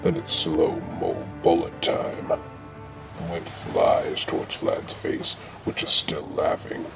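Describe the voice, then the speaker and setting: A man with a gravelly voice narrating a comedy script in a deadpan, slangy, mock-dramatic way. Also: slow pace. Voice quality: very deep, gravelly. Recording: music behind the voice.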